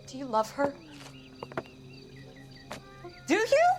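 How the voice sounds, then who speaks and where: A woman's voice: high-pitched, huge pitch swings, brisk, loud music behind the voice. A woman playing a hurt, angry wife and mother who has been betrayed, in a dramatic video game.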